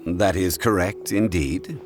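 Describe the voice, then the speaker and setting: An actor playing a composed, erudite gentleman scholar in a video game, speaking formally and in measured, articulate phrases. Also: low-pitched, over music. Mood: calm, assured.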